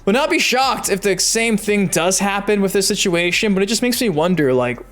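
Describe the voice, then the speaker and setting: A man's voice: high-pitched, lively intonation, normal speed, fairly bright tone. A man hosting gaming news commentary, chatty and emphatic, talking directly to his viewers. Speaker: YouTube gaming news host. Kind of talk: energetic video commentary, reading articles aloud.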